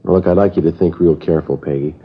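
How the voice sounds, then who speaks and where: An actor playing a methodical police sergeant who narrates and questions witnesses in a clipped, matter-of-fact way, in 1950s radio drama style. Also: male voice, low-pitched, fairly even intonation, fast, slightly rough. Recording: dull old radio broadcast with music.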